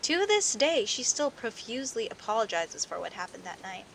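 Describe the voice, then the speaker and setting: A woman playing a friendly, teasing young werecat neighbor in an audio roleplay, chatting playfully to the listener with hesitant pauses and theatrical emphasis. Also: fairly high pitch, very animated, creaky, a little hiss or hum. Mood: amused storytelling. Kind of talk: audio roleplay, casual one-sided conversation with the listener.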